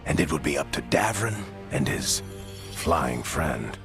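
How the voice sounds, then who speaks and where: An actor playing a grave storyteller, giving measured, portentous narration for a fantasy video game. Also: male voice, very deep voice, big pitch swings, gravelly, heavily acted. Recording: music behind the narration.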